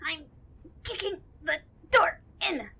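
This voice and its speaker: A woman playing a tiny, hot-headed, excitable loose-cannon sidekick in a comic audio drama. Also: extremely high pitch, slow pace, a little hiss or hum, heavily acted. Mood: brash, excited. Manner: brash, excitable, exasperated.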